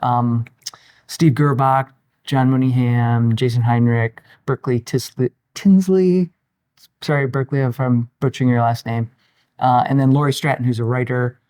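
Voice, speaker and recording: A man of fifty-nine chatting casually as a podcast guest, telling personal stories in an informal, halting way. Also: mid-range voice, steady tone, darkish tone. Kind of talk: casual interview chat, personal anecdotes.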